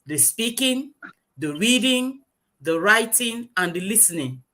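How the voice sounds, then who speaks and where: A woman's voice: on the high side, sing-song, a little slow, pushed and bright. Earnest woman teaching English. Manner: earnest, emphatic, repeats points. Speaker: English teacher, webinar guest. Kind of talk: webinar lecture. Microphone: video call.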